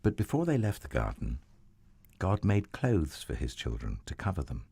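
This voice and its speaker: Man, storybook narrator, deep voice, very lively melody, slow, tender. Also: creaky, slightly rough, dry recording. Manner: expressive, tender storybook delivery. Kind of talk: scripted children's Bible story narration.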